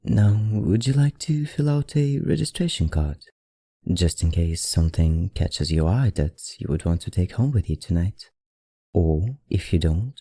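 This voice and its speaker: Man acting as ancient male vampire librarian, very mellow dark voice, unhurried, genteel and teasing, put-on accent, ASMR roleplay. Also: low voice, husky, no echo, full character voice. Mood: polite, helpful. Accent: put-on, not his own. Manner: genteel, old-fashioned, softly teasing. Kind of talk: ASMR roleplay, addressing the listener.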